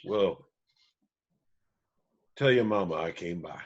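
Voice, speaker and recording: A man acts a regretful country musician father with a husky voice. He stumbles over his apologies, humble and sorry, with a lively, swinging pitch. The table-read recording is dull. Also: full character voice. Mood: contrite, pleading. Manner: halting, contrite. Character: remorseful absent father, former drunk, Southern country musician.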